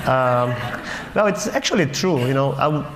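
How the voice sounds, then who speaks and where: A middle-aged man from Brazil, giving a humorous conference talk in a wry, self-mocking way with many halting restarts. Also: mid-range voice, animated, a bit bright. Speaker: conference speaker. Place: conference auditorium stage. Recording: roomy hall with faint noise.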